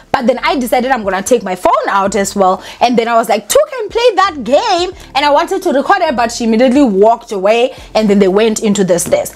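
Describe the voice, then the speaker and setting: A young woman telling a storytime vlog to her viewers in an animated, emphatic way. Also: on the high side. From South Africa. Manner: animated and emphatic, talks to viewers as "guys" and "honey". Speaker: vlogger.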